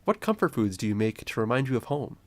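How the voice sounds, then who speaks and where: A man giving measured, scripted documentary narration and asking interview questions. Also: mid-range voice, big pitch swings. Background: music.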